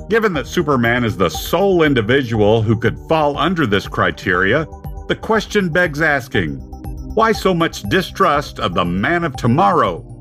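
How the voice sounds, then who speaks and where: A man's voice: mid-range voice, fairly bright tone. A man playing a formal, probing television news interviewer in an audio drama, with a broadcast presenter's delivery.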